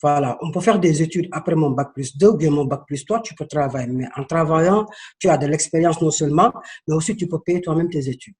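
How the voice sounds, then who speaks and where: A man's voice: on the high side, brisk, a little mellow. A man in his thirties from Senegal, a guest in an interview, telling his story earnestly with hesitations and repeats.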